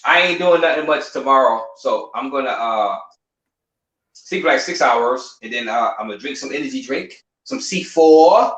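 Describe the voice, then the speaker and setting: A man's voice: mid-range voice, expressive, normal speed, a bit bright, roomy sound, poor audio. A man on a live stream, chatting casually, laughing often and repeating himself. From United States. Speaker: YouTuber guest on a live stream.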